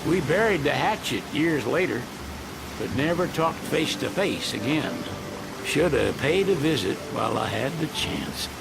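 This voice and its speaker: An actor playing a weathered older mechanic from a video game, folksy and unhurried, with a gravelly American Southern accent. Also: male voice, on the high side, expressive, over loud music, low-quality recording. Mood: wistful, fond. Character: weathered old mechanic, plainspoken, wistful Southern man. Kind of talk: video game cutscene acting.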